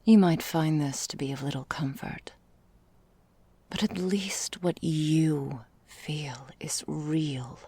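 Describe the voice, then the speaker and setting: An ancient vampire mistress, acted by a woman, murmurs an intimate ASMR monologue in a dark, low, half-whispered voice. She is possessive and quietly menacing, with archaic, formal phrasing. The sound is breathy and very close and dry. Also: slow pace, dry recording, exaggerated voice. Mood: solemn, coaxing.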